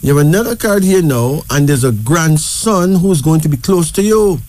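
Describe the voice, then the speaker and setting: A man's voice: on the high side, lively intonation, moderate pace, a little mellow. A man with a Barbadian accent reading cards for a caller in a casual chat, talking in a reassuring way with many tag questions. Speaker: card reader.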